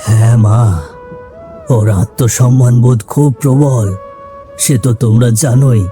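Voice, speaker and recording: A man's voice: mid-range voice, fairly even intonation, a little slow, over music, natural voice. A man playing an earnest, guilt-laden older village man explaining things in a cartoon story. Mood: grave, explaining.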